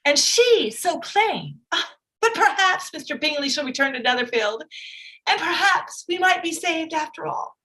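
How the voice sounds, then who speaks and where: Woman playing a shrill, fretful period-drama mother. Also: animated, moderate pace, bright, forceful tone, low-quality recording. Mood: gloomy self-pity. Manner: plaintive, theatrical. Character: fretful, melodramatic middle-aged mother, self-pitying about her nerves. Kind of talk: stage-play reading, period comedy.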